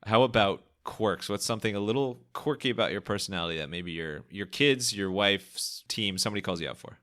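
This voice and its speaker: A man's voice: lowish voice, lively intonation, brisk, fairly bright tone. A young man hosting a podcast interview, asking questions in an upbeat, jokey way and laughing easily. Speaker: podcast host.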